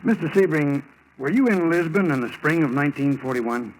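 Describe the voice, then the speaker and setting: An actor playing a curt, formal FBI interrogator in 1940s radio style, on a noisy old recording. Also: male voice, a little high, expressive, brisk, lightly acted. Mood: stern, pressing.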